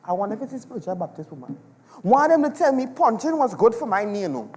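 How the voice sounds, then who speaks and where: A man's voice: sits high, big pitch swings, fast, a little mellow. Man acting a blustering Trinidadian husband, echoey hall. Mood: grumbling, sly. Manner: exasperated, exclamatory, dramatic. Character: selfish, rum-loving husband and father, blustering and evasive. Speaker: stage actor. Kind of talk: theatrical dialect stage comedy. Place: large performance hall, on stage. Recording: echoey hall with long reverb.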